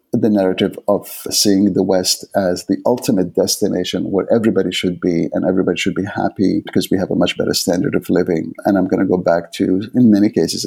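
A reflective Arabic-speaking man in his fifties from the Middle East speaks as an interviewed author. His voice is gravelly, dark and mellow, with a husky edge. He often stops and repeats words while he looks for the right phrasing. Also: sits low.

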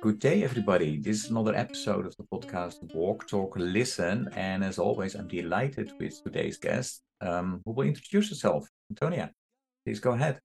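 A man hosting a podcast interview, asking halting questions full of restarts and fillers. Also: sits low, expressive, creaky, darkish tone, soft background music. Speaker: podcast host.

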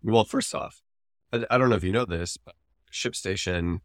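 A man's voice: on the low side, normal speed, no echo, studio quality, soft background music. A man co-hosting a podcast, talking casually and thoughtfully as he weighs up his opinions. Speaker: podcast co-host. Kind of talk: casual discussion and review of ads.

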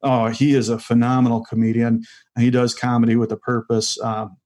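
A middle-aged man talks as a guest on a podcast, easygoing and rambling. He pauses on fillers and restarts his sentences often. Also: mid-range voice, flat delivery, a little mellow. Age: 40s. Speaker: stand-up comedian guest. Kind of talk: casual interview chat.

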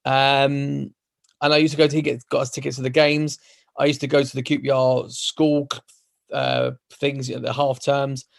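Gravelly London man, relaxed. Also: medium pitch, normal speed. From London, England. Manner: relaxed, rambling, hesitant restarts. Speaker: podcast co-host. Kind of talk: casual chat.

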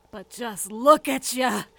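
A woman's voice: fairly high pitch, gravelly, fairly bright tone, slight background noise, exaggerated voice. A woman playing a tough, street-smart chemist stranded in a wasteland, muttering defiantly to herself in an audio drama. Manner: muttering to self, gritty, defiant.

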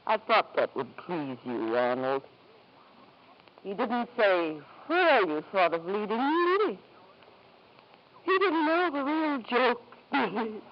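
An actress playing a middle-aged wife, drunk, bitter and grieving, speaking with mock formality and wandering pauses in a 1950s radio drama. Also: female voice, medium pitch, sing-song. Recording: dull, faintly noisy vintage radio recording.

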